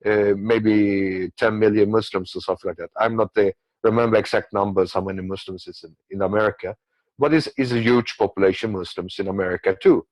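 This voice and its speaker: Low-voiced man, rambling political commentary. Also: steady tone, brisk, dry recording, low-quality recording. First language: not English. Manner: rambling, repetitive, with frequent check-in tags. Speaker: guest commentator.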